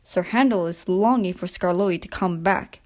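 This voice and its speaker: A woman narrating a children's story from a script in an expressive, deliberate storytelling manner. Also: on the low side.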